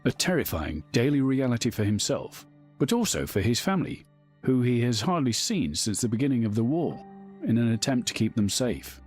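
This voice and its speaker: A man's voice: mid-range voice, very animated, moderate pace, a little mellow, slightly rough, faint music behind. A man reading a scripted biographical narration as a documentary narrator.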